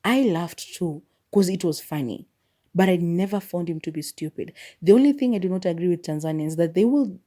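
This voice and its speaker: A woman's voice: fairly low pitch, brisk, warm tone. A young woman hosting a solo podcast, speaking her mind candidly and mocking what others say. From Kenya. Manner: opinionated, candid, mock-quotes people. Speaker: podcast host.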